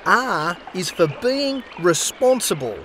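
A man's voice: high-pitched, sing-song, takes it slowly, a bit bright, a little hiss or hum, heavily acted. A man voicing a cheerful, friendly cartoon koala mascot with an Australian accent, giving scripted safety advice in an upbeat, instructive way. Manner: upbeat, instructive, clearly enunciated. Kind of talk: scripted children's-style safety narration.

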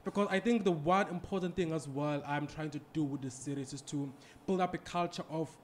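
Young male podcast host, bright-ish higher voice, desk mic in an office. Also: fairly quick, slight background noise. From South Africa. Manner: chatty, backchannels often. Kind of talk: interview host, casual. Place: small office meeting room. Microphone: desk podcast mic on boom arm.

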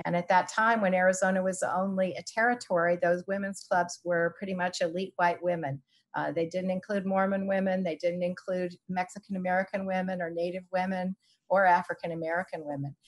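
A woman panelist explaining history on a video call, measured and explanatory, pausing with frequent ums. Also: lowish voice, fairly even intonation. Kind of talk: panel discussion answers.

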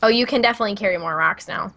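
A woman's voice: medium pitch, racing, pushed and bright. A woman with a Midwestern American accent, chatting casually as she streams a co-op video game. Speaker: gaming streamer. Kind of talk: casual co-op gameplay chat.

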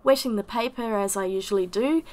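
A woman with a croaky voice from a summer cold, chattily narrating a watercolour painting voice-over. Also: mid-range voice, a little fast, darkish tone. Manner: chatty, self-deprecating. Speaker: watercolour artist.

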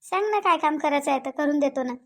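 A woman's voice: high-pitched, fast talker, fairly bright tone. A woman voicing an apologetic, eager-to-help village woman guest, in a Vidarbha Marathi accent. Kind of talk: cartoon voice acting.

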